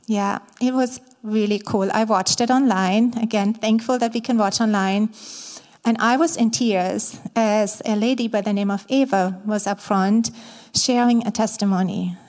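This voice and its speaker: A middle-aged woman from Germany with a German accent, preaching as a guest speaker to a church congregation, candid and a little halting, with fillers. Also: medium pitch, steady tone, a little mellow. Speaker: guest speaker, ministry staff. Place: church sanctuary. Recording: long reverb of a large church hall.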